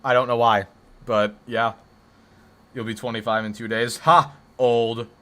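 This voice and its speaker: A deep-voiced man of twenty hosting a casual live stream, answering his chat with rambling, joking banter and mock defensiveness. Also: level tone, measured pace, fairly bright tone. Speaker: livestream host.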